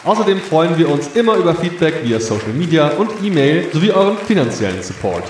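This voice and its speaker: A man's voice: a little high, very animated, a little fast, a faint crowd. A man reading a scripted podcast outro in a formal, playfully ornate manner. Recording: very roomy, distant, faint noise.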